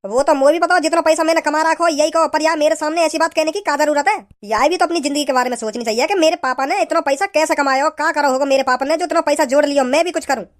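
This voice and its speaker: Man voicing a high-pitched, preachy cartoon village dad. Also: rapid-fire, few pauses. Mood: grumbling. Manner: preachy, repetitive, hectoring. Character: scolding, preachy village father in a comedy cartoon. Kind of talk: comedy cartoon dialogue.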